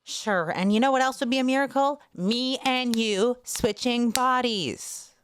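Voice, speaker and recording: A goofy teenage boy from a light novel, put on by a woman reader. He sounds high, earnest and exaggerated, pleading in loose slang as he tries to be believed. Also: a little slow, fairly bright tone, a little hiss or hum. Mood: cheeky.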